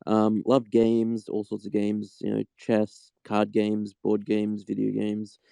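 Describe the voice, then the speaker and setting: A man in his thirties talks in a low, gravelly voice that stays smooth and mellow. He answers at an easy pace and stops often to think. The sound is dry and close, with no room echo. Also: takes it slowly. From Australia. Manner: relaxed, thoughtful, many ums. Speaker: guest. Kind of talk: casual interview.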